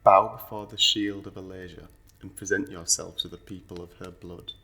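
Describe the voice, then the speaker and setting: A man's voice: sits low, steady tone, moderate pace, bright, forceful tone. A man playing a solemn officiant of a fantasy noble court in an audio roleplay, speaking in a stately, formal, declamatory manner. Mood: solemn, reverent.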